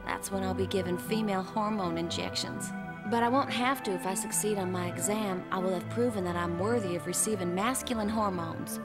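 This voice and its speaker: A woman's voice: medium pitch, fairly quick, lightly acted. A woman playing a fiery, defiant young androgynous alien in an English anime dub. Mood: earnest, wistful. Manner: brash, defiant. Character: fiery young androgynous alien who insists on being male. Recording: music behind the dialogue.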